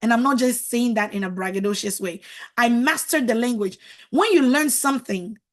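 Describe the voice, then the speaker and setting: A woman's voice: fairly high pitch, normal speed. A middle-aged woman whose first language is French, giving a motivational talk as a marriage coach, emphatic and preachy, repeating words for stress.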